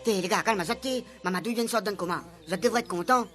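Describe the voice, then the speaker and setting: A good-natured, bossy Réunion Creole fellow put on by a woman, pitched up high. He is animated, colloquial and quick to chide his pals in a radio comedy, with music behind him. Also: natural voice. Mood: chiding. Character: bossy Réunion island man, gang's good-natured cook. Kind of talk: comic radio serial acting.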